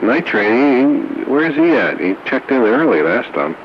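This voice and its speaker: A man's voice: medium pitch, very animated, a little slow. A man chatting casually over a two-way radio, trading banter as an amateur operator. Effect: two-way radio transmission. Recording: muffled, noisy two-way radio audio.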